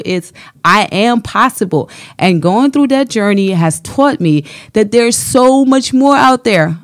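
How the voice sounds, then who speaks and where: A woman's voice: mid-range voice, no room sound, clean studio sound. A woman from Germany with a German accent, a life coach speaking earnestly and with faith about her business as a radio guest. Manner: earnest, motivational, faith-filled. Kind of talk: radio interview, personal testimony.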